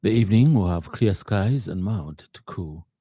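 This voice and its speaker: A man presenting a radio news bulletin, reading in a halting, hesitant way. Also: deep voice, lively intonation, warm tone, breathy edge, no room sound.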